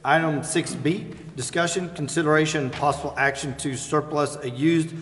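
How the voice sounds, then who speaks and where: A man's voice: fairly high pitch, fairly even intonation, moderate pace, fairly bright tone. An American man formally reading out agenda items as chair of a public meeting in a council chamber. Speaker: meeting chair, presiding official. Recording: echoey council chamber, clear background noise.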